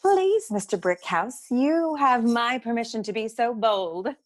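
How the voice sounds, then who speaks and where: A woman's voice: on the high side, expressive, measured pace. An actress playing a poised, witty woman who works beside a noir detective, speaking in an arch, formal, articulate way in a comic radio play. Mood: arch, warm.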